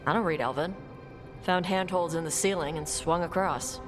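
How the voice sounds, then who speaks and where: A woman voicing a blunt young dragon hunter who talks in short, gruff, dismissive lines in a game cutscene. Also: lowish voice, normal speed, over music, lightly acted. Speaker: treasure hunter. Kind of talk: video game cutscene dialogue.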